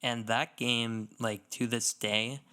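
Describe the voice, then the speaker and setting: A man's voice: mid-range voice, little pitch movement, slow pace, bright, forceful tone, clear, resonant tone. A man co-hosting a casual gaming podcast, talking enthusiastically and giving emphatic opinions. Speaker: podcast co-host.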